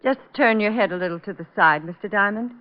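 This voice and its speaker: An American actress playing a guarded young salon masseuse with polite, clipped control, in old radio drama style. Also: female voice, mid-range voice, quick pace, close to an everyday voice. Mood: cold, controlled. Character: guarded young female salon masseuse hiding cold resentment. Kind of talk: 1940s-50s American radio drama acting. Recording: muffled, noisy old radio recording.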